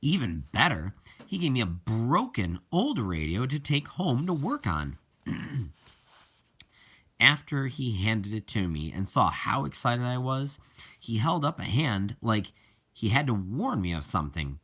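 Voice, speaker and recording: A man reading a children's novel aloud as scripted narration, with deliberate, halting phrasing. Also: medium pitch, big pitch swings, a bit bright, slightly rough. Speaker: audiobook reader.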